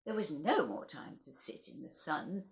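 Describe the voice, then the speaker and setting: A woman's voice: low voice, animated, normal speed. A woman reading poetry aloud in a theatrical, wryly comic way, as the host of a recital. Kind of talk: expressive poetry recital with casual introductions.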